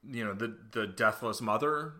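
A man, co-hosting a podcast in casual chat, hesitant and quick to laugh. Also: lowish voice, pushed and bright. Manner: hesitant, restarts and laughs often. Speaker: podcast co-host.

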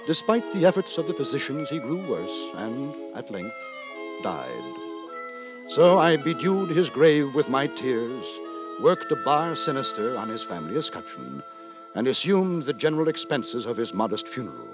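A man playing a pompous, wry gentleman narrator in a 1950s radio drama, telling his tale with ornate, mock-solemn deliberation. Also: medium pitch, very animated, moderate pace, natural voice. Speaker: narrator and lead actor. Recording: dry 1950s radio with music.

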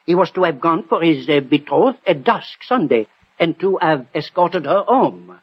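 Nervous French shopkeeper, evasive, deferential, highish husky voice, swooping delivery, vintage radio. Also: male voice, very animated, moderate pace. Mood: anxious, careful. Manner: hesitant, formal. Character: anxious, deferential French perfumer, emotional and evasive. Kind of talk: 1960 radio drama acting. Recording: dull old radio broadcast.

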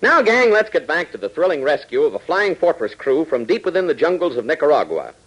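A man's voice: a little high, big pitch swings, a little fast, fairly bright tone, slight background noise, poor audio. An American man narrating emphatically and dramatically as an announcer in 1940s radio style. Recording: old 1940s radio broadcast recording.

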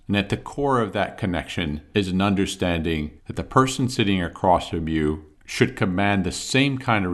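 Low-voiced upbeat male host. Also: a bit bright. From Michigan. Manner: upbeat, chatty interviewer. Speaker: podcast host. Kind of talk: interview with quick-fire questions.

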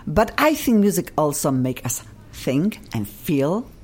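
A woman's voice: sits low, big pitch swings, unhurried, darkish tone, breathy edge. A woman from Poland with a Polish accent, hosting a radio talk show in a bubbly, effusive way and laughing often.

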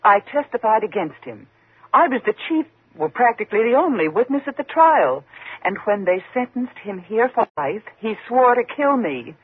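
A woman's voice: on the high side, sing-song, normal speed, husky, phone-quality sound. An actress playing a frightened single woman in a 1950s radio suspense drama, tense and dramatic in her delivery. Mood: fearful, insistent. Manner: tense, dramatic, faltering when flustered.

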